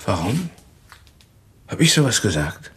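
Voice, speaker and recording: An actor playing an evasive, casually ironic security guard with a slightly husky voice in a radio crime drama. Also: male voice, on the low side, very animated, a little slow, fairly bright tone, full character voice.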